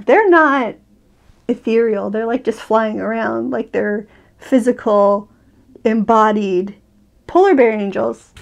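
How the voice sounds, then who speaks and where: A woman in her thirties with a fairly high voice chats casually as a reviewer. She is wry and often interrupts herself, recorded in a small furnished room on a camera a little way off. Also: a little mellow, dull audio. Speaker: book reviewer.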